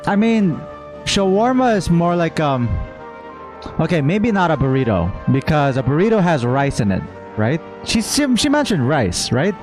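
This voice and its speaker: A man's voice: a little high, very animated, moderate pace, music in the background. A man giving casual gameplay commentary as a streamer, playfully musing aloud and reading bits of on-screen text. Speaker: gamer, streamer.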